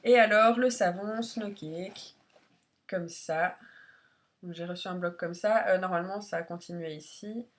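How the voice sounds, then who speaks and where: A woman's voice: on the low side, measured pace. A woman with a congested, head-cold voice chats informally and a little hesitantly as she reviews products in a casual beauty vlog. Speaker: beauty vlogger.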